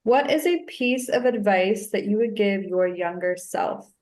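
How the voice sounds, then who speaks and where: A woman's voice: medium pitch, unhurried, darkish tone. A woman hosting a podcast interview, asking questions in a friendly way. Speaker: podcast host.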